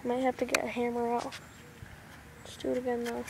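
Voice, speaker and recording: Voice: mid-range voice, monotone, fairly quick, vocal fry. Someone giving casual, offhand commentary on what they are doing, as an amateur filming outdoors. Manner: offhand, narrating actions as they happen. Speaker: amateur video maker. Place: outdoors in a yard by a street. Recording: noisy amateur camcorder audio.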